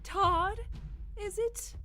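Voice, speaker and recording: A stately, prim circus curator, who speaks in a high, bright register. Her delivery is slow, precise and formal, like someone used to giving tours. An audio drama character. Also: soft background music, exaggerated voice. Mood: poised.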